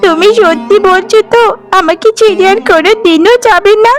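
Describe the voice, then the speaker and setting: Woman as a very high-pitched pleading little boy. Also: moderate pace. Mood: affectionate, relieved. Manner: pleading, affectionate, childlike. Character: sickly, clingy little boy pining for his aunt. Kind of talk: Bengali cartoon voice acting. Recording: music behind the voice.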